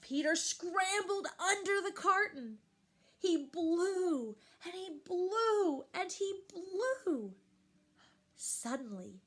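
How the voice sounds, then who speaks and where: A woman reading a picture book aloud to young children, expressively and with questions to the listeners. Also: very high-pitched. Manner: animated, slow and deliberate, addressing young children.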